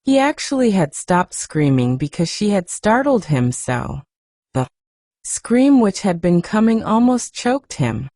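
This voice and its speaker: A woman reading a classic children's novel aloud in a soft, gentle audiobook style. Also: very animated, measured pace, no room sound, clean studio sound. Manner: gentle, acts dialogue with raised pitch. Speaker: narrator.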